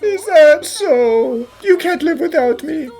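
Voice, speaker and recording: A woman's voice: high voice, expressive, takes it slowly, warm tone, faint music behind, heavily acted, low-quality recording. A woman playing a wealthy, imperious madam in a cartoon fan dub, theatrical, effusive and grand. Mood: gushing. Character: gushing, imperious wealthy madam, doting on her pet.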